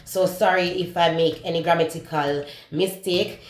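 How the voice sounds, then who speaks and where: A woman's voice: lowish voice, steady tone, normal speed. Candid Jamaican woman vlogging from her kitchen. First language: Jamaican Patois. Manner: candid, searching for words, self-correcting. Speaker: beauty vlogger. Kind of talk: casual talk-to-camera beauty vlog. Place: home kitchen, indoors. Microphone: camera close to the face. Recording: echoey kitchen with background music.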